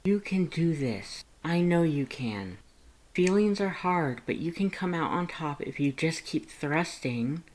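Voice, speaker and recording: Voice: medium pitch, lively intonation, unhurried, voice pushed up, lightly acted. A narrator voicing a flirty, affectionate male monster in a fan fiction audiobook, with playful, coaxing talk and pet names. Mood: encouraging.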